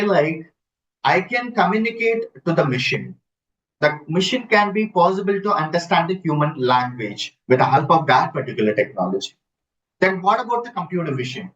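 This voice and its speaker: A man giving a live online lecture, halting and repeating himself as he checks in with listeners, using his computer's built-in mic. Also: high voice, a bit bright. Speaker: instructor. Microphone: built-in computer mic, no headset. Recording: dull lo-fi computer audio.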